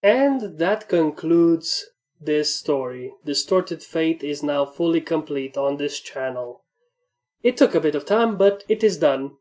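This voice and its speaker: A woman's voice: deep voice, expressive, slow, mellow. A woman narrating fan fiction as a reader and host. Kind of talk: story narration. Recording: music under the voice. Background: background music.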